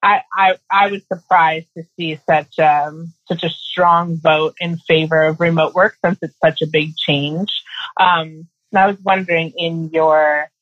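A woman's voice: sits low, fairly even intonation, unhurried, no echo. A woman hosts a conversational interview, phrasing her points thoughtfully and with some hesitation. The sound is close and dry but a little muffled, like a video call. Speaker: podcast interviewer.

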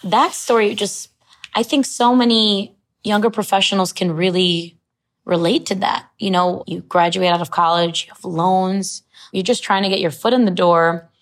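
A woman's voice: mid-range voice, steady tone, normal speed. Engaged woman interviewer asking follow-ups. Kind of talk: conversational interview.

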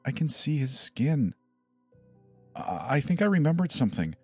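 A man's voice: medium pitch, big pitch swings, moderate pace, a little mellow, breathy edge, no room sound, faint music behind, voice pushed up. A man voicing a scared seven-year-old boy in a dramatized horror story, hesitant and pleading, stammering over his words. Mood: anxious.

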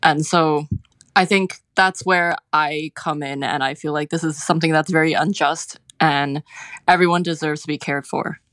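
A woman's voice: sits low, fairly even intonation, moderate pace, a bit bright, dry recording. A woman in her twenties from Hong Kong speaking as an interview guest, reflective and hesitant, often saying filler words. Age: 20s. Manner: hesitant, filler-heavy with frequent "like".

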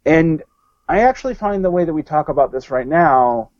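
A man's voice: fairly high pitch, lively intonation, normal speed, a little mellow, no room sound. Male guest, rambling and restarting, dry muffled line. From American South. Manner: rambling, many fillers and restarts. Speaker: podcast guest. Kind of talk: casual discussion.

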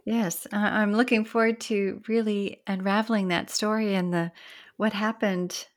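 A woman hosting a podcast, reading a measured introduction and then asking a guest questions. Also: medium pitch, flat delivery. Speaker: podcast host. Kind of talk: scripted intro then interview.

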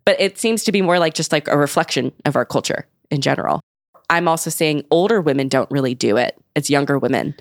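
A young woman with a notably low voice talks through her research in a relaxed, explanatory way, filling her sentences with "like". The sound is close and dry, with no room around it. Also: a little fast, dry recording. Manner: explanatory, uses "like" often. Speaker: guest researcher. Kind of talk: casual podcast chat.

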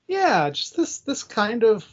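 A man's voice: very high-pitched, huge pitch swings, slow, slightly rough. Plain-spoken man on slightly dull audio. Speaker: podcast host. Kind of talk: casual chat about a radio drama.

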